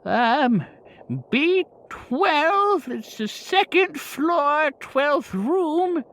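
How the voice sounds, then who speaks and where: A man voicing a frail older motel clerk, frog-like, who speaks in short halting phrases. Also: extremely high pitch, expressive, fairly bright tone, voice pushed up, full character voice. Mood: muddled, helpful.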